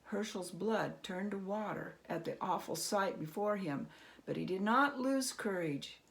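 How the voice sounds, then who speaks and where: A woman storyteller reading a storybook aloud expressively, with dramatic, suspenseful pauses. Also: medium pitch, creaky, poor audio.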